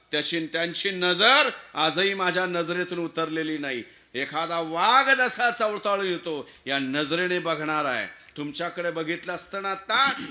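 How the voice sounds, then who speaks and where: A man's voice: on the low side, voice pushed down, close to an everyday voice. A man voicing a khan's suspicious son in a historical tale, emphatic and insistent. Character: suspicious, sharp-eyed khan's son, insistent on exposing an impostor.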